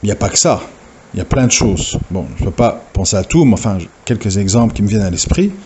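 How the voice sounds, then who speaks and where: A man's voice: lowish voice, animated, fast, noisy background. A man giving an informal religious talk, hesitant and full of fillers and restarts as he lectures. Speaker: religious preacher. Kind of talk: informal recorded audio talk.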